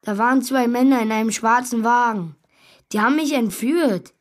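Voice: medium pitch, normal speed, natural voice. A young performer playing a bewildered, polite and curious schoolboy in a children's radio play, hesitant and natural.

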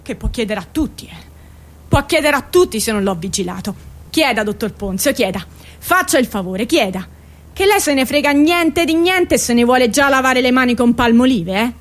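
Woman acting a high-pitched, brash, aggrieved mother. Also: expressive, normal speed. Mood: pleading, accusing. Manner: colloquial, repetitive, insistent pleading and complaining. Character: brash, aggrieved working-class Italian mother defending her arrested son. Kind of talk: theatrical monologue radio drama.

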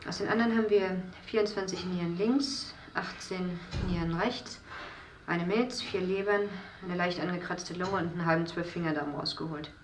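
A woman's voice: lowish voice, moderate pace, natural voice. Actress as clinical, weary woman in echoey room. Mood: matter-of-fact, detached. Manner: matter-of-fact, clinical enumeration. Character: weary clinical woman tallying harvested organs in a dystopia. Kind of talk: German radio drama acting. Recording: roomy, noisy background.

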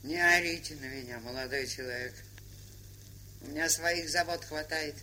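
A woman's voice: low-pitched, moderate pace, pushed and bright, low-quality recording. An actress playing a shrewd, sardonic older woman camp trader, lecturing with worldly irony in a theatrical stage style. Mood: dry, mocking. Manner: worldly-wise, ironic, lecturing. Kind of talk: theatrical stage drama acting. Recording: hissy 1970s studio drama recording.

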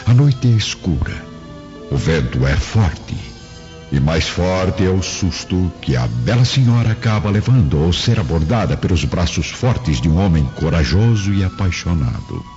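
A man's voice: low voice, very animated, a little slow, a little mellow. A man giving dramatic scripted narration for a radio drama. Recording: muffled, music behind the voice.

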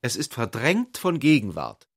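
An intellectual gentleman in a literary radio drama, ironic and speculative. His medium-pitched voice swings widely as he gropes from one short thought to the next. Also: wildly swooping pitch, measured pace, breathy edge, exaggerated voice. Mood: musing.

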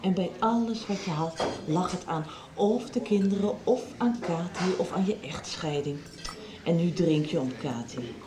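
A woman's voice: lowish voice, moderate pace, echoey room, crowd noise, natural voice. An actress playing a resolute, sardonic woman who stands her ground firmly in a radio soap. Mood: stern, firm. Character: resolute woman facing down a blackmailer, protective, cutting.

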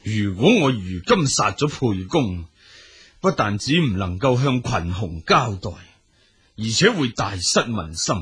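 A commanding ancient Chinese general in a radio drama. He declaims in a husky, forceful voice, proud and imperious, with lively swings of pitch. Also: a little slow, full character voice. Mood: brooding. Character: proud, commanding male warlord general, indecisive underneath.

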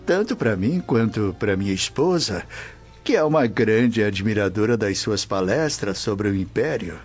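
A Brazilian-accented actor playing a genial, courteous old Roman official in a radio drama. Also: male voice, fairly low pitch, animated, a little slow, muffled recording, soft background music. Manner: genial, courteous, hesitant interjections.

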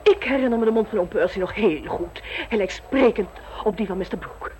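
A woman's voice: medium pitch, a little fast, background noise, close to an everyday voice. An actress playing a gossipy, prim, scandalized upper-class mother in an old radio play. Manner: dramatic, prim, exclamatory.

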